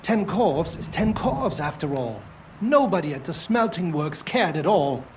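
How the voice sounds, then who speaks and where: A nervous German assayer. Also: male voice, fairly high pitch, sing-song, measured pace, slight background noise. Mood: fearful. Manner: defensive, then frightened and confessing. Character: nervous middle-aged German ore assayer.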